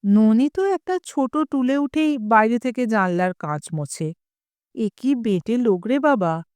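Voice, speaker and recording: A woman with a Bengali accent reading a story aloud in a slow, clear, expressive way. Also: on the high side, no room sound. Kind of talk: scripted story narration.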